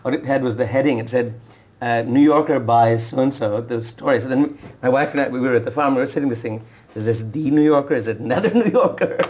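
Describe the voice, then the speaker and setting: A fast-talking man answers questions in a TV interview. He often stops and restarts his sentences, searching for the right words, and his pitch stays in a middling range. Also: a little mellow. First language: Urdu and Punjabi speaker. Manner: fast, hesitant, with many restarts. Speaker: author guest. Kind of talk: TV interview conversation.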